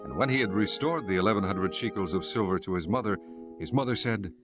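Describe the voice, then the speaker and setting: Casting: male narrator for dramatized scripture, lower register, light music bed. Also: quick pace.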